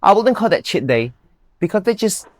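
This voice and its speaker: A woman's voice: mid-range voice, big pitch swings, moderate pace, no room sound. Upbeat Indonesian-accented woman, dry sound. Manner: upbeat, earnest, non-native grammar. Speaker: guest, bodybuilder. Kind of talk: casual interview chat.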